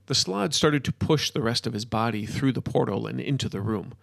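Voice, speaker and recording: Gravelly male storyteller, dry sound. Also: medium pitch, a little fast, dry recording. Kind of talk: scripted story narration.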